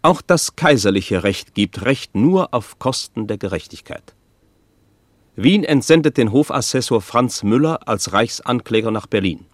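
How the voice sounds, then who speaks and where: Ein Schauspieler gibt in einem deutschen Hörspiel einen nüchternen, gewichtigen Chronisten, der gemessen und förmlich erzählt. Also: male voice, medium pitch, animated, no echo. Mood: sober, grave. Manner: measured, formal. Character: sober, weighty male chronicler narrating a historical trial. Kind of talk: scripted radio drama narration.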